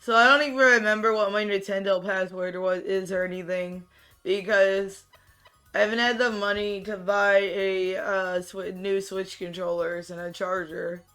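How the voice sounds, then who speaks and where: A streamer chatting casually while playing a game, with a raspy, monotone voice that sounds tired and low-key, rambling and often restarting sentences. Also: moderate pace, soft background music.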